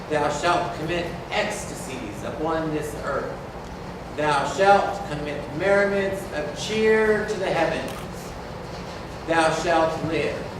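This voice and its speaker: A man playing an exuberant poet preaching joyful commandments, very slow and measured, fairly high voice, solemn chanted delivery, heard from a distance, echoey large hall, audible background noise. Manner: solemn, chanted, repetitive declamation. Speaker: actor reading the poet. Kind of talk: staged reading of a ceremonial verse masque. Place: large indoor community hall.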